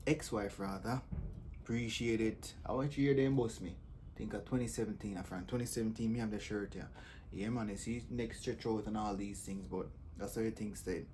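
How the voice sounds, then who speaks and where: A man's voice: on the low side, steady tone, normal speed, darkish tone, a little hiss or hum. A man with a Jamaican accent hosting casual, joking reaction commentary. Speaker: host reacting to comedy clips.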